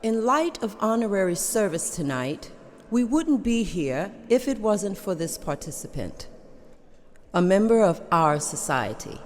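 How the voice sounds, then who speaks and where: A woman plays a society member giving a formal address at a ceremony. She speaks at a mid pitch, and faint background noise runs under her voice. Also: sing-song, measured pace, darkish tone. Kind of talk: amateur table read acting.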